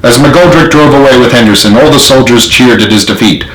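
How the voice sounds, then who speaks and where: Male author-narrator: medium pitch, scripted storytelling, echoey room. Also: a little fast, a bit bright. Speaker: author narrating own book.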